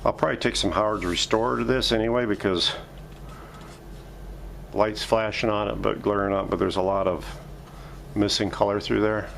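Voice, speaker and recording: A man's voice: lowish voice, steady tone, moderate pace, creaky, pauses often, fairly bright tone, slight background noise. A man giving casual, step-by-step narration as he works, muttering his thoughts aloud in a workshop. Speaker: vintage radio restorer hosting a workshop video. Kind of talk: casual hands-on narration while working. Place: home workshop.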